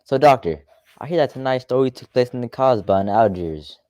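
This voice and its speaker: A man hosting a radio show with scripted, deliberate, presentational announcing. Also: mid-range voice, lively intonation, a little mellow, no echo.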